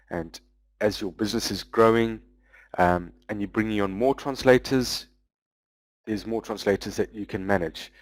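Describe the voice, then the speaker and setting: A man giving a webinar presentation, walking through software features in a loose, explanatory way with frequent pauses. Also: lowish voice, dry recording. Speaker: business development manager presenting a webinar.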